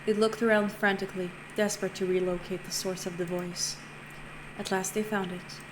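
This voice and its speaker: A woman streamer reading a visual novel aloud, narrating lively with playful asides and self-corrections. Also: medium pitch, normal speed. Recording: noisy home stream recording.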